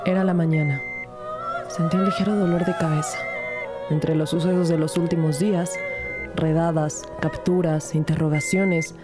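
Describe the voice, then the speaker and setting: A woman playing a driven police detective in a radio drama. She delivers earnest, dramatic first-person storytelling in a fairly low voice over clear background music. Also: steady tone, moderate pace, close to an everyday voice. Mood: serious, tense. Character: earnest, driven female police detective narrating her case.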